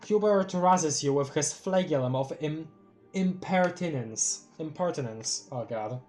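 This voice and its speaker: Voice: mid-range voice, measured pace, faint music behind. Giggly Polish-accented streamer reading aloud. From Poland. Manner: giggly and excitable, reading aloud with stumbles over long words. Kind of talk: casual live-stream reading a webcomic aloud.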